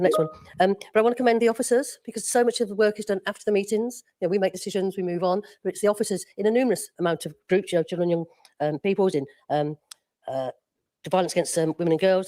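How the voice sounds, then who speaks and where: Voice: medium pitch, darkish tone, dry recording. A councillor speaking in a council meeting in a chatty way, repeating words as they praise the officers. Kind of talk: formal speech in a council meeting.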